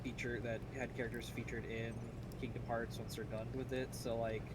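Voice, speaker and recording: Male game streamer, faint Midwestern American accent, mid-range voice, loose rambling casual chat over clear background noise. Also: mid-range voice, little pitch movement, moderate pace. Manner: rambling, self-interrupting.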